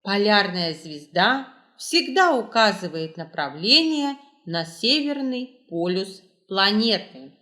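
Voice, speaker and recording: A woman reads quiz questions and answers as a scripted host. Her voice is bright and clear-cut, with lively swings in pitch. She takes her time, enunciating each phrase in a measured way. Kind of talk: scripted quiz narration, reading aloud.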